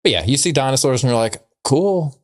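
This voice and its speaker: A man's voice: medium pitch, lively intonation, a little fast. A man co-hosting a comedy podcast, chatting casually and telling jokes in a laid-back, wry way. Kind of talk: casual banter and joke telling.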